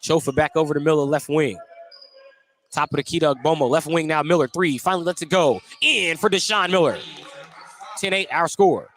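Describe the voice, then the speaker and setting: A man's voice: fairly high pitch, a little fast, a bit bright. A man with an American accent doing live radio play-by-play commentary of a basketball game in clipped phrases. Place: indoor basketball gym.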